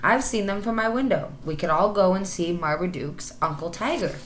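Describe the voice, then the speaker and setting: A woman voicing a wise old male cat from a storybook, assured and quick to correct. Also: fairly low pitch, expressive, slight background noise, lightly acted. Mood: knowing.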